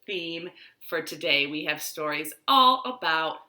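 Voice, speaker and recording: A woman's voice: sits low, animated, unhurried, pushed and bright. A woman hosting storytime for young children, speaking in simple, encouraging phrases as she leads rhymes and reads aloud. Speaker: children's storytime host at a library. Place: living room at home. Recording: roomy, echoing home room sound.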